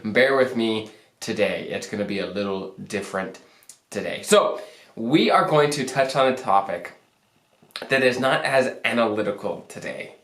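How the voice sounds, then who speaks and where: Young man, deep cold-roughened voice, echoey room. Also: lively intonation, normal speed, fairly bright tone. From Arizona, US. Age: young adult. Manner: upbeat, conversational, self-joking. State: voice deepened by a recent cold. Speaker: financial planner hosting a channel. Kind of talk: casual advice talk to camera.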